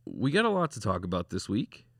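Male podcast host, low voice, dry close sound, rambling casual sports talk. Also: wildly swooping pitch, fairly quick, creaky, dry recording. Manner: rambling, lots of restarts and fillers, laughs easily.

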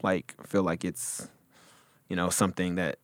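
A man's voice: sits low, little pitch movement, unhurried, no echo. A man co-hosting a casual podcast, rambling with lots of fillers and frequent laughter. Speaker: podcast co-host. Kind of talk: casual chat about hip hop.

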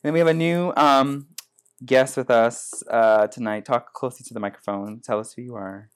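A man's voice: mid-range voice, fairly even intonation, moderate pace, clear, resonant tone. Bossy, teasing male podcast host. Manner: bossy, teasing, keeps things moving. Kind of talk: casual podcast chat.

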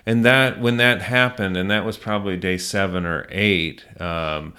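A man's voice: low-pitched, fairly even intonation, unhurried, pushed and bright, clear, resonant tone. A middle-aged American man telling a story conversationally in an interview, earnest and reflective, circling back over phrases for emphasis. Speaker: small business owner being interviewed.

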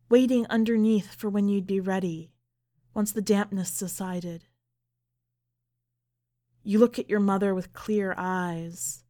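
Woman reading in a measured literary podcast style. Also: mid-range voice, dark tone. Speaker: podcast host, editor and story reader. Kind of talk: literary story narration and podcast commentary.

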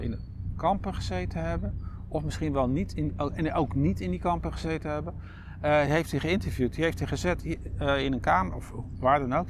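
Middle aged guy, bit higher voice, rambly podcast chat, some background noise. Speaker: podcast co-host. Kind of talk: casual chat.

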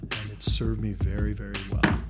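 A middle-aged man speaking as an interview guest, chatting casually in a halting, thoughtful way. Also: fairly low pitch, little pitch movement, loud music behind the voice. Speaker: podcast guest, company CEO.

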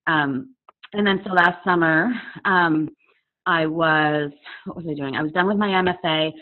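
A woman in her forties, a poet and author, speaking candidly and reflectively on a video call interview, often pausing with fillers. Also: deep voice. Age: 40s. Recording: tinny phone-like audio over a video call.

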